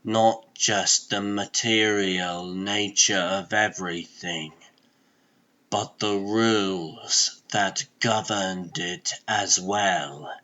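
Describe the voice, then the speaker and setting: A man voicing a solemn, world-weary sorcerer in a comic dub, speaking gravely and with portentous deliberation. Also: sits low, steady tone, extremely slow, sharp tone, dull audio, heavily acted. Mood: ominous. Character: solemn, world-weary adult male sorcerer serving a god. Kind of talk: comic dub dramatic monologue.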